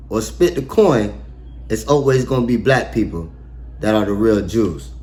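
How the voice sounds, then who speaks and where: Slow, animated man breaking down scripture. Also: medium pitch. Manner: reads scripture, then explains it in slangy, emphatic street talk; asks rhetorical questions and pauses for effect. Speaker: online bible teacher. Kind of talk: casual verse-by-verse bible breakdown.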